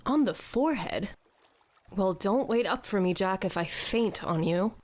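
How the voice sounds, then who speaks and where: A woman's voice: medium pitch, animated, unhurried. A woman's mid-range voice for an audio drama. She plays a lovelorn shipboard romantic who covers it with sarcasm, tipping into theatrical self-pity. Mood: flirtatious. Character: sardonic lovelorn woman aboard a tall ship.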